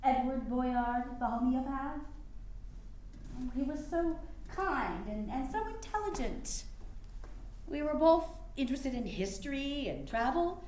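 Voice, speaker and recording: A woman's voice: sits high, expressive, unhurried, darkish tone. A woman acting a resolute, confiding mother and suffrage campaigner in a staged period drama, speaking earnestly in formal phrasing. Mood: wistful confession. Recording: very echoey room with faint noise.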